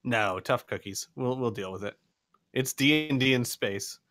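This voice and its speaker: Bright-voiced man running a tabletop game. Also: medium pitch, normal speed, dry recording, clean studio sound. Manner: rambling and self-deprecating, with many restarts and laughs. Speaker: game master and channel host. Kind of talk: casual chat, running a tabletop session.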